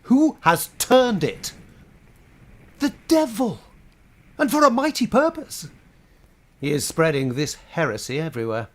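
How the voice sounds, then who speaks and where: A man acting a proud medieval French bishop in a radio play. He speaks with righteous force and indignation, his rhetorical delivery swinging widely in pitch. The zeal is formal and theatrical. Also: big pitch swings, a little slow, pauses often. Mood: outraged. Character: proud, zealous French bishop of the Middle Ages. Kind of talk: stage-style radio drama acting.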